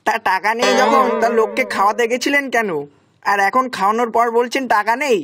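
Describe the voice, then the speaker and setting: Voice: mid-range voice, a little fast, noisy background, lightly acted. An actor voicing a blunt, challenging village man in a children's cartoon. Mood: accusing. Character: blunt, accusing village man, the owner's pal.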